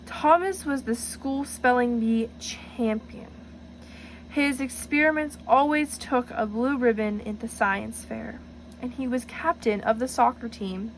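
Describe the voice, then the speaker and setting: A woman reading a storybook aloud in an expressive, teacherly way, speaking directly to young listeners and asking them questions. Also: on the high side, slow, slight background noise, rough recording. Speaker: reader for a children's read-aloud.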